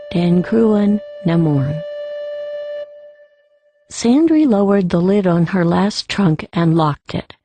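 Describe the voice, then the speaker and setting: Female audiobook narrator: slow, measured storytelling, soft dark tone, dry close sound. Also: on the low side, vocal fry, husky, no room sound.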